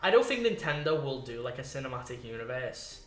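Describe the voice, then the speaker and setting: A man chatting casually as a podcast co-host, rambling in an animated, sweary way and leaning on "like". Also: medium pitch, fairly quick, soft background music. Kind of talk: casual chat about films and games. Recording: roomy, echoey sound.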